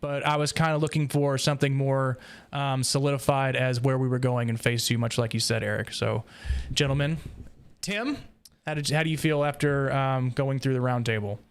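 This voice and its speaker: A man hosting a casual roundtable discussion, feeling out his thoughts in a halting way. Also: medium pitch, fairly even intonation, clear, resonant tone. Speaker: host of a book discussion podcast.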